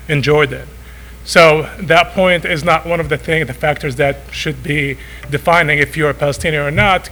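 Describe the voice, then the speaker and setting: A man's voice: on the high side, sharp tone, rough recording. A middle-aged man born in Saudi Arabia, speaking informally as a father during public comment at a meeting. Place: school board meeting room.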